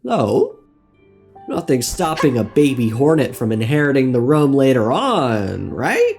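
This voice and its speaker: A man narrating a scripted game lore video essay in a wry, theatrical manner with dramatic pauses. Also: medium pitch, wildly swooping pitch, darkish tone. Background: music.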